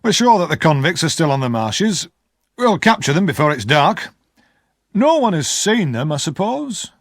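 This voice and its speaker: A man's voice: on the high side, big pitch swings, moderate pace, dry recording, studio quality, pitched above the performer's own voice. A man voicing a stern army sergeant with a clipped, commanding manner. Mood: businesslike.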